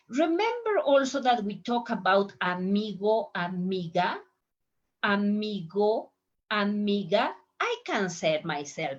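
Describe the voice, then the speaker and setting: Lady teacher, slow, repeats examples, bouncy pitch, kinda muffled recording. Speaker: Spanish teacher. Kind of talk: online language lesson. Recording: dull lo-fi audio.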